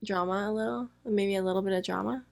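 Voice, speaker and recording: A woman's voice: medium pitch, steady tone, creaky, a little mellow. Chatty American woman reacting casually. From United States. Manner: chatty, quick asides, drawn-out words. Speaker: co-host reacting with her husband. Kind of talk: casual chat.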